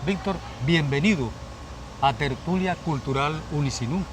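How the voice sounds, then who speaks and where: A man hosting a cultural talk program, speaking in a formal, presenter-like way. His voice is fairly high for a man, and there is clearly audible noise behind him. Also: normal speed. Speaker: interview host. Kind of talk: program introduction and interview questions.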